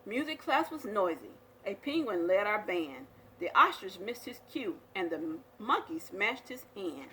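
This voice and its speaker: Bright, animated woman reading a storybook. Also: medium pitch, measured pace, few pauses, a little hiss or hum, poor audio. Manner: animated, dramatic storytelling delivery. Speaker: storyteller reading aloud to young children. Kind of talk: expressive children's picture book read-aloud.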